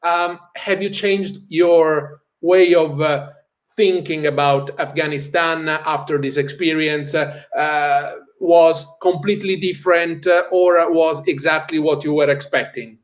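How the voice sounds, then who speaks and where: A man with an Italian accent hosting an interview, asking questions hesitantly with many pauses. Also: fairly high pitch, steady tone, dull audio. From Italy. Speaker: interviewer, host.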